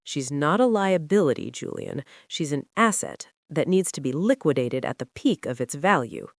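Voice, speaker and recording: Lady narrator as cold older rich villain man, measured and calculating, low voice, audiobook, dry recording. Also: animated, no echo. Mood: icy, calculating. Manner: icy, measured. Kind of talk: audiobook character dialogue.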